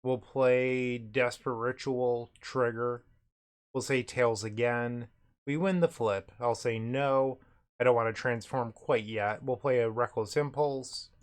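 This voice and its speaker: A man talks through a card game as a streamer would, in a casual commentary style. His mid-pitched voice is recovering from sickness, so it tends to crack and waver. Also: fairly even intonation, a little slow. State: recovering from illness, voice cracks and cuts in and out.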